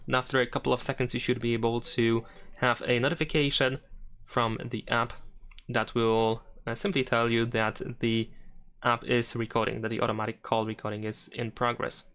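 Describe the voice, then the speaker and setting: A man's voice: medium pitch, flat delivery, normal speed, fairly bright tone, smooth. Man narrating a casual phone tutorial. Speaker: tech tutorial host.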